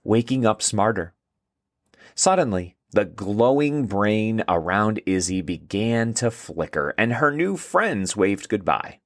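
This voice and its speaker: A man with a lowish voice narrates a children's storybook. He reads at a slow pace with plenty of melodic movement, in a scripted storytelling style. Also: big pitch swings, dry recording, studio quality.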